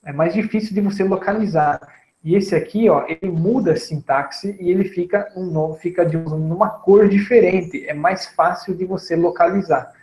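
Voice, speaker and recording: A man in his thirties teaching programming on a screen recording, relaxed and chatty, often checking in with his listeners. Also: high-pitched, normal speed, roomy sound, rough recording. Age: 30s. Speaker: programming instructor. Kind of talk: screen-recorded coding tutorial.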